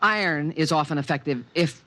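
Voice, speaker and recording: An actor playing a fussy, anxiously protective alien creature, earnest and pedantic, in a 1950s radio drama. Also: male voice, on the high side, moderate pace, bright, forceful tone, dry recording. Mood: anxious, hurt. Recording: vintage 1950s radio, music underneath.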